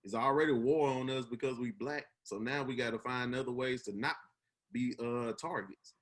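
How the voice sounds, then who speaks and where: A man's voice: medium pitch, fairly even intonation, moderate pace. A man in his twenties with a Memphis Southern accent, hosting a casual podcast chat in an earnest, encouraging, slangy way. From Memphis, United States. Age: 20s. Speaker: podcast host.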